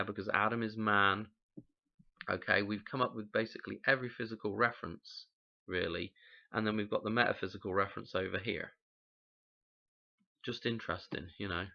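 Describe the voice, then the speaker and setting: Male host, low gravelly voice, croaky from a cold, bright edge, lo-fi sound. Loose, musing delivery. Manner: rambling, musing, frequent "okay?" check-ins. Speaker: channel host explaining number codes. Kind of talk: casual explanatory talk.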